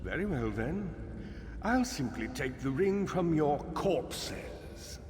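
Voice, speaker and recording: An actor playing an arrogant, menacing undead necromancer in a video game, imperious and threatening. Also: male voice, a little high, very animated, takes it slowly, heavy background noise. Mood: menacing, smug. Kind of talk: dramatic video game acting. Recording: long hall reverb, loud game music.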